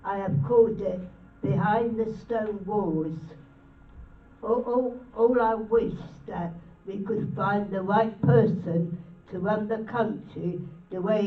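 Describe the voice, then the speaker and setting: A woman reading her own poem at an event, hesitant, stumbling and repeating words as she goes. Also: medium pitch. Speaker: amateur poet at a charity event. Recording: echoey, distant, phone-like, noisy.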